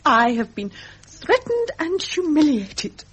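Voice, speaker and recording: An actress playing a seductive society mistress, anxious and imploring in a melodramatic radio drama. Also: female voice, sits high, sing-song, takes it slowly, full character voice, low-quality recording. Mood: alarmed. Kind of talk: 1970s British radio drama acting.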